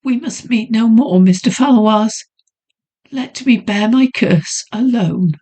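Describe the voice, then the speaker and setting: A woman's voice: a little high, measured pace, dark tone, voice pushed up. A woman voicing a haunted young noblewoman who pleads breathlessly and trembles with fear. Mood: fearful sorrow. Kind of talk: audiobook character voice.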